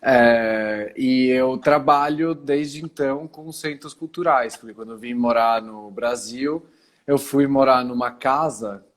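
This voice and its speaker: A man from Italy, speaking as a guest on a video call through his phone, calmly explaining things and often pausing to collect his thoughts. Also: mid-range voice. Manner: calm, explanatory, pauses often to gather thoughts. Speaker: guest, cultural center founder. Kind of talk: casual video call interview.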